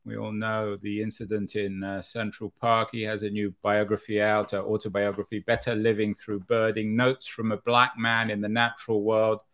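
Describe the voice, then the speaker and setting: A man hosting an interview show, introducing his guest and asking questions in a halting way with many pauses. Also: on the low side, level tone, fairly bright tone. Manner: halting, many uhs and restarts. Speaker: podcast host.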